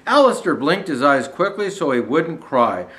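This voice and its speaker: Lively older man reading a children's storybook. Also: a little high, normal speed. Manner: folksy, addressing young viewers directly. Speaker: storyteller in a Santa persona. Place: small indoor decorated studio set.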